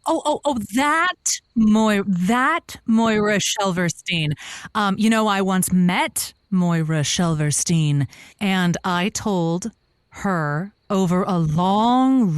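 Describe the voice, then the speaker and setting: An actress playing a flamboyant, flirtatious advice host who spins tall romantic tales in a theatrical, suggestive way. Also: female voice, lowish voice, clean tone, dry recording, clean studio sound. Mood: breezy, self-assured. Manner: theatrical, suggestive, self-dramatizing. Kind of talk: comedic audio drama storytelling.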